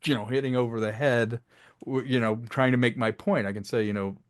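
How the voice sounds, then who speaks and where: A man's voice: mid-range voice, fairly even intonation, a little fast, dry recording, studio quality. A man being interviewed as a filmmaker and audio drama writer, talking in a conversational, expansive, reflective storytelling way.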